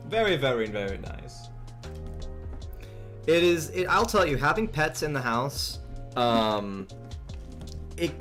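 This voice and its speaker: A man's voice: a little high, a bit bright. 20s guy chatting on his art stream, rambly, restarts a lot, faint music behind. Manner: rambling, frequent fillers and restarts, chuckles often. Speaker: streamer animating live. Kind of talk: casual live-stream chat while drawing. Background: faint background music.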